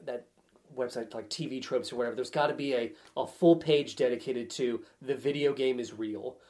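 A man's voice: medium pitch, moderate pace, warm tone. Sardonic man in casual movie banter. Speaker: podcast guest reviewing movie trailers. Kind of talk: casual comedic movie chat.

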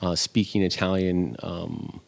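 Very deep-voiced middle-aged man. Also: level tone, vocal fry. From Wisconsin, USA. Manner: halting, many restarts and fillers. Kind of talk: relaxed conversational interview.

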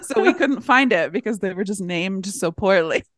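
A woman's voice: medium pitch, expressive, moderate pace, a bit bright, dry recording, studio quality. A woman co-hosting a casual podcast chat in a playful, joking way. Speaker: podcast co-host.

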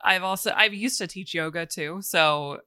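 A woman with a calming voice, a business coach chatting as a guest in an interview and laughing between thoughts. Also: fairly low pitch, moderate pace, clear, resonant tone, dry recording, studio quality. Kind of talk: casual interview chat.

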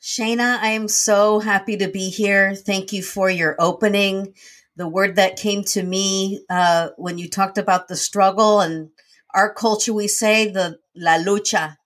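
A woman's voice: medium pitch, fairly even intonation, a little slow, fairly bright tone. A woman guest in a conversational interview, telling her life story in an earnest, reflective way. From United States. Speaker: guest, nonprofit founder and CEO.

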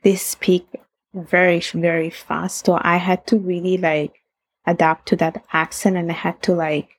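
Italian-accented woman, low flat husky voice. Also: unhurried, no room sound. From Italy. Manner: reflective, laughs often, self-correcting restarts. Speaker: guest. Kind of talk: casual interview talk.

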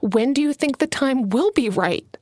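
A woman's voice: a little high, animated, moderate pace, no echo, clean studio sound, lightly acted. A woman reading an audiobook, voicing an eager, earnest young woman writer who pleads and bristles. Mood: frustrated. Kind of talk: audiobook character voice.

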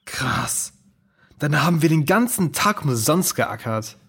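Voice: medium pitch, expressive, takes it slowly, a bit bright, slightly rough, exaggerated voice. A young performer acting a blunt, sceptical boy in a German children's radio play. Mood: blunt, doubtful. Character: blunt, sceptical boy, often thinking of snacks.

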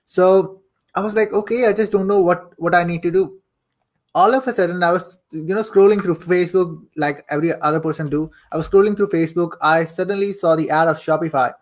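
A young man from Saudi Arabia and Pakistan speaks in a slightly high voice. He tells his own story like a chatty interview guest, informal and fond of repeating a phrase for emphasis. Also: fairly quick. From Saudi Arabia, later Pakistan. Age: young adult. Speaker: podcast guest, affiliate marketer and coach. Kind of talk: casual personal storytelling in an interview.

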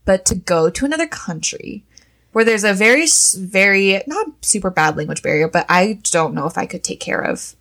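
A woman in her twenties in casual chat, animated, often trailing off and laughing. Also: mid-range voice, moderate pace.

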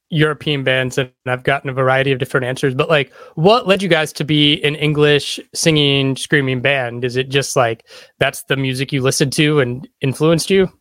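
A man's voice: a little high, fairly bright tone, no room sound. A man hosting a podcast interview, speaking in a casual, conversational way.

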